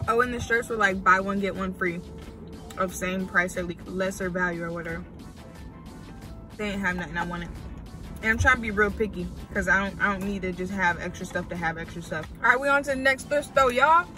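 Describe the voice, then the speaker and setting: A woman's voice: mid-range voice, brisk, music in the background. A woman in her twenties with an American accent, chatting casually and slangily as a vlogger. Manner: informal, slangy, animated asides. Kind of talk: casual vlog chat. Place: inside a parked car and at home.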